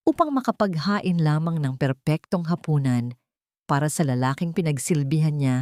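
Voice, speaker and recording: A woman's voice: fairly low pitch, a little mellow, no echo, clean studio sound. A woman narrating a scripted love story in a dramatic storytelling style.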